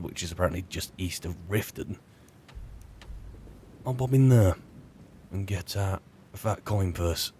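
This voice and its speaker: Guy roleplaying a weary british fantasy servant turned adventurer, lowish voice, wry rambling muttering to himself, some noise behind him. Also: mellow, lightly acted. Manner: rambling, musing, wry, halting. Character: weary, chatty male wizard's servant turned adventurer, grumbling wryly. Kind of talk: in-character game roleplay monologue.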